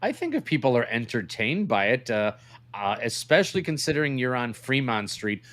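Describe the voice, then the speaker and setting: A man's voice: mid-range voice, very animated, moderate pace, a bit bright. A man co-hosting a podcast with casual, joking banter. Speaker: podcast co-host.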